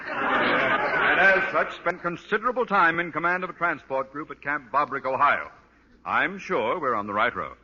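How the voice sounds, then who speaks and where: A man's voice: on the high side, lively intonation, normal speed, bright, forceful tone, slightly rough, exaggerated voice. An actor playing a pompous, imperious middle-aged principal and father in a 1940s radio sitcom. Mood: indignant, boastful. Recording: dull vintage radio recording, long reverb.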